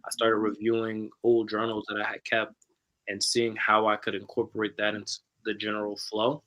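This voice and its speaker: A man author telling his personal story in a casual panel talk, measured and candid. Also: fairly low pitch, monotone, pushed and bright, poor audio. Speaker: author guest.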